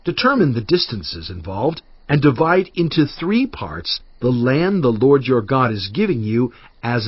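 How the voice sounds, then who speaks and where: A man reading scripture as a dramatized narration, solemn, measured and emphatic in delivery. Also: medium pitch, breathy edge, dry recording, muffled recording. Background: cinematic music.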